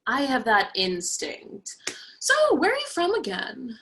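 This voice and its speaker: A woman reading the part of a chatty, naive young college roommate in a play, expressive and opinionated. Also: a little high, measured pace, slight background noise, full character voice, low-quality recording. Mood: bubbly, nosy. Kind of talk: virtual play reading, naturalistic dialogue.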